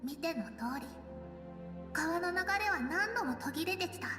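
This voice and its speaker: Brief: kindly young female game guide, high voice, quick delivery, reverberant game scene with music underneath. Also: expressive. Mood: gentle. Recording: roomy with long reverb over game music.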